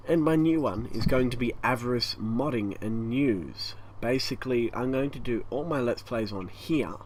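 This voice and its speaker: A man with an Australian accent and a croaky, hoarse voice, rambling through a casual channel update at home, stopping often for breath. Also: mid-range voice. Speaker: YouTube gaming channel host. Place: hot home room with a fan running. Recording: faint fan noise behind.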